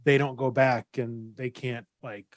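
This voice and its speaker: A man's voice: medium pitch, flat delivery, measured pace, no echo. A man on a podcast panel, explaining his views in an informal, conversational way. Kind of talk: casual panel discussion.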